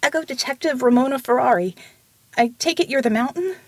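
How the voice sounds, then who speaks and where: Casting: woman narrator playing earnest female cop, raised pitch, slightly husky, pleading and insistent, audiobook drama. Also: brisk, no echo. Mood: urgent. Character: earnest, urgent female police detective.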